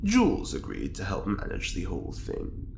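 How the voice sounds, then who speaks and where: A wry, theatrical prisoner, played by a performer speaks in dry, drawn-out phrases. The low voice swoops widely up and down. It echoes in a roomy space. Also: wildly swooping pitch, creaky, a little mellow, slight background noise, heavily acted.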